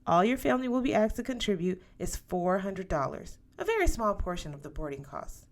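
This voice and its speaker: A woman's voice: sing-song, fairly quick. Eager, polite male school recruiter voiced by a woman. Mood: upbeat, encouraging. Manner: enthusiastic, polite sales pitch. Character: eager, encouraging male school recruiter in neat tweedy dress.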